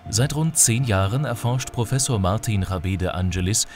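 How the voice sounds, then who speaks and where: A very deep-voiced man reads a scripted documentary voice-over in a measured, formal tone. Music plays clearly beneath his narration.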